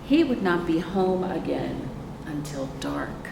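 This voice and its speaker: An American woman leads a storytime for young children in a lowish voice that moves at a leisurely pace. She is expressive and puts questions to her listeners as she goes. The sound is distant and reverberant, with noise behind her. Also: gravelly. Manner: expressive, asks listeners questions and pauses for answers. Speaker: librarian hosting children's storytime. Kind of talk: picture book read-aloud for young children. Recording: roomy, echoing, noisy, far from microphone.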